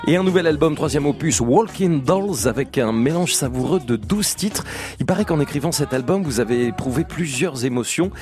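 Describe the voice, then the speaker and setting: A man with a husky voice, presenting a live radio interview in an effusive, admiring way. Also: on the high side, animated, normal speed, over music. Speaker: radio host.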